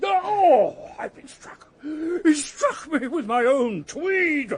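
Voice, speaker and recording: An actor playing a pompous, irascible old draper who barks orders in a raspy, blustering manner in a period radio drama. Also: male voice, extremely high pitch, very animated, slow pace, slight background noise, exaggerated voice. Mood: shocked, alarmed. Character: pompous, irascible old male draper shop owner, bossy and affronted.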